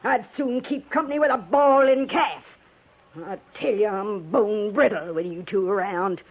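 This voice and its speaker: A woman's voice: mid-range voice, measured pace, husky. An actress playing a tough, sly old American frontier woman with folksy, rustic speech in a 1950s radio drama. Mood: sly, gruff. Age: older. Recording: muffled, thin 1950s radio recording.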